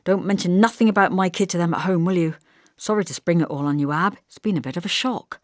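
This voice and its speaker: A woman's voice: fairly low pitch, quick pace, no room sound, clean studio sound, lightly acted. A woman voicing an offhand, friendly young working man with casual, colloquial speech in an audiobook. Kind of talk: audiobook character voice.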